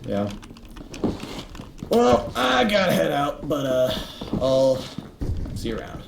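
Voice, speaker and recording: A teenage boy chatting casually as co-host, rambling and animated with lots of fillers. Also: a little high, slow pace, gravelly. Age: teens. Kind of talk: casual chat. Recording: echoey room, faint noise.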